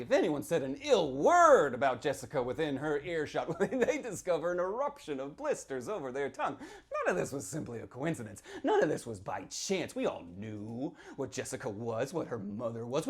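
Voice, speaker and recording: A man performing a sly small-town local who tells a witch legend, theatrical and confiding, moving between hushed and declaimed delivery. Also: fairly high pitch. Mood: fervent, ominous. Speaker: author reading from own novel. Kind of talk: dramatic live reading of a horror story.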